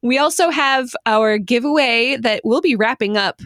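A woman with a fairly high voice hosts a casual podcast chat. She is playful and quick to giggle. The sound is dry, with very little room around it. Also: a bit bright, dry recording. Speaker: podcast host. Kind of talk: lively casual group chat.

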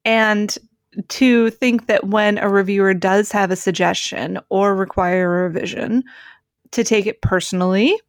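A woman's voice: medium pitch, steady tone, measured pace, no room sound, clean studio sound. A woman co-hosting a podcast, talking casually and reflectively, explaining her thoughts in an articulate way. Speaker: podcast co-host. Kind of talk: casual conversation, reflective commentary.

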